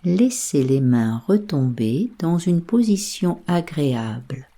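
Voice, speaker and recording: A woman guides a bedtime relaxation with a low, mellow voice. She speaks very slowly and softly, with breath in the tone. Her delivery is hushed and soothing, leaving space between instructions. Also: sing-song. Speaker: guided meditation teacher. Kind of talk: slow guided yoga nidra sleep meditation.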